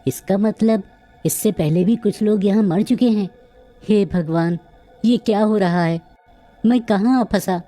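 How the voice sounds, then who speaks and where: A man's voice: sits high, a little slow, dark tone, soft background music. A man playing a minister's determined young son, earnest and emphatic, in a cartoon fairy tale. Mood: alarmed.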